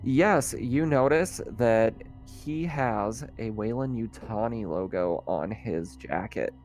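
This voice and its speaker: A man's voice: lowish voice, slow pace, darkish tone, soft background music. A man running a tabletop roleplaying game as game master, narrating off the cuff in a casual, conversational way.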